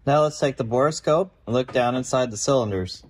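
A man in his thirties narrates a restoration job in a roomy indoor workshop. He speaks in an even, methodical way, calm and practical. The sound is a camera mic in a garage. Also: mid-range voice, brisk, a little hiss or hum. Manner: calm, methodical, matter-of-fact. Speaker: car restoration video host. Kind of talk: explanatory workshop walkthrough.

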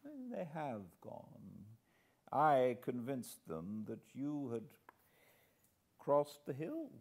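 A man reading an audiobook, voicing a sly, assured older male villain who speaks softly with quiet menace. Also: fairly low pitch, voice pushed up, heavily acted. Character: sly old male villain, gently smiling. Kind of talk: audiobook character voice.

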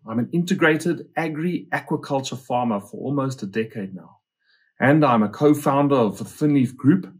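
Husky man presenting. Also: medium pitch. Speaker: company founder and farmer presenting his farm. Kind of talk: scripted promotional presentation.

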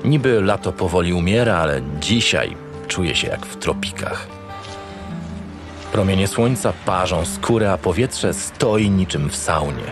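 A man plays a cynical homicide detective in a crime audio drama. His voice sits fairly low, gruff and sardonic, with irritation and swearing never far away. Music plays underneath. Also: expressive, a little slow. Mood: brooding. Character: cynical, irritable male homicide detective, foul-mouthed boss. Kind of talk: first-person audio drama narration and dialogue.

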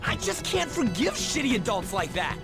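A man playing a brash, hot-headed teenage delinquent with rough, slangy, blunt speech in a video game. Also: very high-pitched, very animated, normal speed, exaggerated voice. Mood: angry, irritated. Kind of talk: English video game voice acting. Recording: music behind the voice.

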